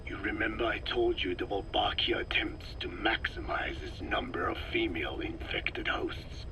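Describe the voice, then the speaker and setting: An actor playing a grave, learned old scientist in a game, explaining deliberately in a rasping, breathy half-whisper. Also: male voice, fairly low pitch. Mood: grave, explanatory. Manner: didactic, deliberate. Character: elderly male parasite scientist, learned, measured, grave. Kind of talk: expository dialogue in dramatic game voice acting.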